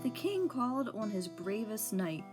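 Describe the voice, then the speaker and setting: A woman narrating a children's storybook aloud in an expressive read-aloud style. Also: medium pitch, unhurried. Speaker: storybook reader. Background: music.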